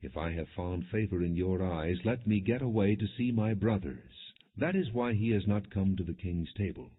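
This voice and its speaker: Casting note: male audiobook narrator, deep and husky, measured scripture storytelling on thin, band-limited audio. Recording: muffled narrowband, phone-like audio.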